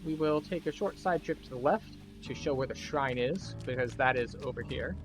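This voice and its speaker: A man's voice: mid-range voice, normal speed, over music. A man giving a casual walkthrough commentary as he plays a video game. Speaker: gameplay guide and streamer.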